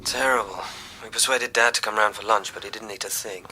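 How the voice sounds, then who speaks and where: An actor playing a fretful, blunt grown son in a radio drama, brisk, terse and conversational. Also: male voice, fairly low pitch, fairly even intonation, pushed and bright, faint music behind, rough recording. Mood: exasperated.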